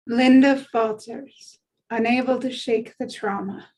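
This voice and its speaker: A woman's voice: a little high, steady tone, measured pace, darkish tone, rough recording. A woman reading stage directions as scripted narration for a dramatic table read.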